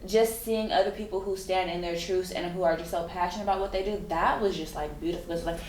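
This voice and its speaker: A woman's voice: on the low side, fairly even intonation, quick pace, darkish tone. A woman interviewer chatting informally and affirmingly in a casual conversation. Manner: informal, frequently affirming the other speaker. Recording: echoey room, faint background noise.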